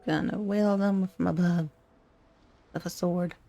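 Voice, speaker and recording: A woman's voice: on the low side, steady tone, measured pace, gravelly, dull audio. A woman gamer casually commenting and reacting as she plays a video game. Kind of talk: casual let's-play commentary. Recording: loud game music behind the voice. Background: video game music.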